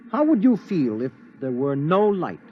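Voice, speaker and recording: An earnest male reporter in an old radio drama, asking questions with a slight hesitance. His voice sits a touch high and moves around a lot. The sound is dull and lo-fi. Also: very animated, moderate pace, a little hiss or hum. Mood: inquisitive. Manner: inquisitive, hesitant. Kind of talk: 1950s radio drama acting.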